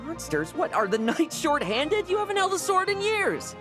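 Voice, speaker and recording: An actor playing a jaded young male knight in a video game, dry, restless and measured in his speech. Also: very high voice, wildly swooping pitch, heavily acted. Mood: wry. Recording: game music underneath.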